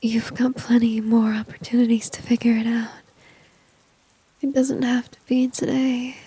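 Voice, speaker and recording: Woman acting breathy whispering flirty villainess. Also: fairly high pitch, little pitch movement, a little slow, mellow. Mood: coaxing, confident. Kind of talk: ASMR roleplay, intimate whispering. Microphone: close microphone, dry.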